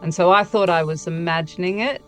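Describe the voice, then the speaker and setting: A woman's voice: fairly low pitch, a little fast, soft background music. A woman novelist speaking reflectively and conversationally as an interview guest.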